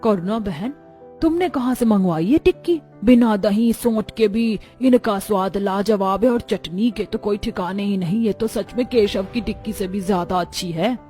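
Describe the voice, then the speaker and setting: A woman's voice: medium pitch, normal speed, mellow, close to an everyday voice. A woman playing caring, earnest women of a poor family and neighbourhood in a children's cartoon. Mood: impressed, eager. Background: music.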